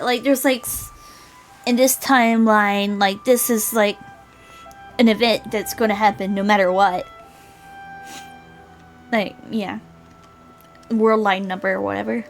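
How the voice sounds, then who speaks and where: A woman streamer chatting casually and in a rambling way as she plays. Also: a little high. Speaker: streamer reading a visual novel. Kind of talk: casual chat.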